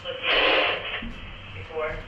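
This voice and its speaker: A man chatting casually over a staticky walkie-talkie radio. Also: extremely slow. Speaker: radio test partner at home. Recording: staticky muffled radio, echoey, distant, noisy.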